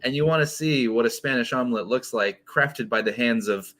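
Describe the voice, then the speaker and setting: A man's voice: mid-range voice, fairly quick, a bit bright. A man in his early thirties, co-hosting a live podcast in a laid-back, wry casual chat. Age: 30s. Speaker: co-host.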